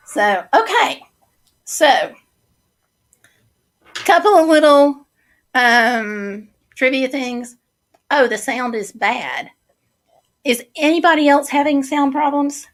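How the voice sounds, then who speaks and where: Woman, livestream host, unhurried talk, somewhat high pitch, voice getting hoarse. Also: animated. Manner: slow, chatty, many fillers. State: losing her voice, throat clearing. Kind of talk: casual livestream chat reading viewer comments.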